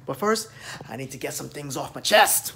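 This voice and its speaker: A man's voice: mid-range voice, animated, moderate pace, creaky, sharp tone. An American man in his thirties, ranting and lecturing straight to a phone camera in a small room. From United States. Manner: emphatic, lecturing, direct address. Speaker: dance vlogger. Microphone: phone camera at arm's length.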